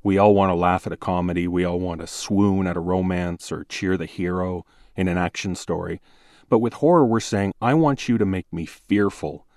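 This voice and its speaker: Deep-voiced man explaining in an interview. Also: fairly even intonation, normal speed, a little mellow, dry recording, clean studio sound. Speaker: horror podcast host, interview guest. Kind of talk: thoughtful interview conversation.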